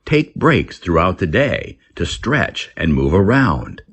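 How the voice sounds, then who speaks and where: A man reading scripted English-learning texts aloud as a teacher, in a gravelly, husky voice. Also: low-pitched, sing-song, takes it slowly.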